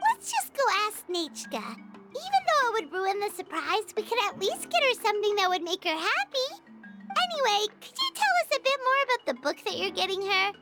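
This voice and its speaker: Very high-pitched, excitable little child. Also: female voice, moderate pace. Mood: protesting. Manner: excitable, insistent. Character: eager, earnest little child friend in a fantasy game. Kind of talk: video game character dialogue. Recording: loud playful game music behind.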